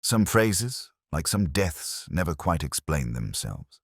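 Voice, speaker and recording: A man plays a brooding, literary police detective with an extremely deep voice. He narrates a radio mystery in the first person, measured and solemn with a wry edge. His pitch rises and falls widely, and the sound is dry, with no room around it. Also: very animated, breathy edge, dry recording, heavily acted. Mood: brooding, reflective.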